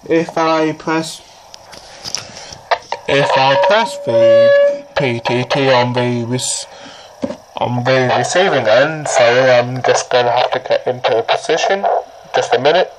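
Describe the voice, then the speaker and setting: A person giving an informal, unscripted how-to demonstration in a halting, repetitive way, often restarting sentences. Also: mid-range voice. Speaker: presenter of a gadget how-to. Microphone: camera or tablet propped nearby. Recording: noisy amateur recording with clicks.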